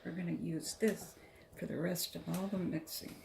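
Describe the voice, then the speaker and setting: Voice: medium pitch, flat delivery, normal speed, gravelly, a little mellow, echoey room, slight background noise. A person helping cook in a home kitchen, bantering and encouraging. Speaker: cooking co-host. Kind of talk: casual kitchen chat.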